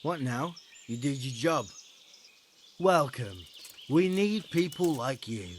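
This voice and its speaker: An actor playing a brusque, mocking bandit recruiter in a medieval video game, with a sardonic, needling delivery. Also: male voice, medium pitch, lively intonation, takes it slowly, a little hiss or hum. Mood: sardonic, instructive. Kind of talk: video game cutscene acting.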